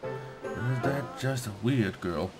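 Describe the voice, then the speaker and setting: A man giving casual let's play commentary, haltingly thinking aloud and reading bits of game text. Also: medium pitch, loud music behind the voice. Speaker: gaming let's play host. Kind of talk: casual gameplay commentary, muttering to himself.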